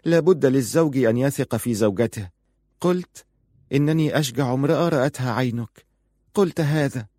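A man's voice: medium pitch, fairly quick, a little mellow, dry recording, studio quality, pitched above the performer's own voice, close to an everyday voice. A man voicing a passionate, pleading and defiant young wife in audiobook dialogue, insistent and emotional. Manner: insistent, emotional, bitter at times.

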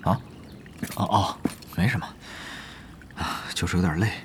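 A man acting a soft-hearted, conflicted guild officer in a Chinese radio drama, with a hesitant manner. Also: low-pitched, a little slow, noisy background. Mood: evasive. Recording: background music under the voice.

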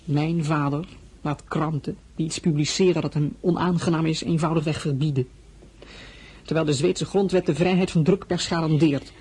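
An actor playing a young crown prince in a radio play, formal and earnest, sometimes getting heated. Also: male voice, a little high, steady tone, normal speed, darkish tone, husky.

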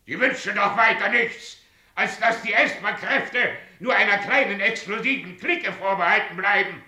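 An actor playing an irate, bombastic guild chief who dictates in a declamatory, indignant way in a radio drama. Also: male voice, high-pitched, normal speed, sharp tone, echoey room. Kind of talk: 1970s German radio drama acting.

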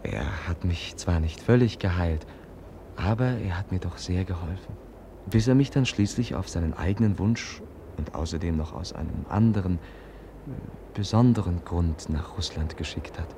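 An actor in a 1950s German radio play, playing a frail, candid young prince, polite and earnest, with hesitant pauses. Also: male voice, deep voice, mellow. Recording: noisy 1950s radio recording.

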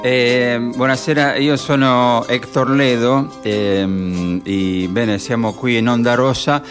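A man's voice: medium pitch, fairly even intonation, slow pace. A man from Argentina with an Argentine Spanish accent, hosting radio informally and searching for his words as he introduces a programme. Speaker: radio host. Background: music playing under the voice.

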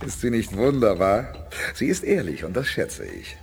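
An actor with diction playing a confident, wry space commander in a radio drama, crisp and authoritative. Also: male voice, lowish voice, huge pitch swings, measured pace, background noise, heavily acted. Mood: wry, amused. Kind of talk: 1980s German radio drama acting.

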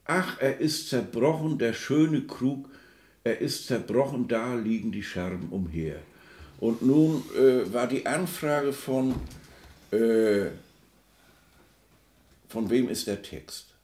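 Man as a musing music expert, slow pace, hesitant, searching for words, roomy echo, radio play. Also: medium pitch, a little mellow, lightly acted. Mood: musing, halting. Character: musing, halting male music expert explaining a piece. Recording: roomy, reverberant sound.

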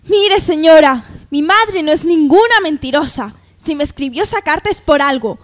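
A woman's voice: sits high, expressive, normal speed. A woman playing a polite, proud young woman in an amateur radio soap opera, earnest and deferential. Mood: defiant.

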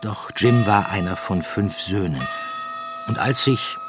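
A man narrating a literary radio drama in a measured storytelling manner, reading from a script. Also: fairly low pitch. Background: music behind the voice.

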